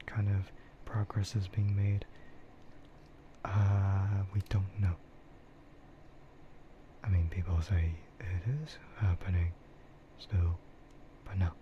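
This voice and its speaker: A man with a low, soft voice that often slips into a whisper, rambling slowly as he streams ASMR. His delivery is relaxed and hesitant. The recording is muffled, with clear noise behind him. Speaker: livestreamer.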